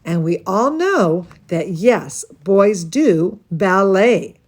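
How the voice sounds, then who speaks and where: A woman's voice: mid-range voice, expressive, extremely slow, darkish tone. A woman reading a children's story aloud as a teacher would, expressively, with chatty asides and questions to her young listeners. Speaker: dance studio teacher reading a bedtime story to students.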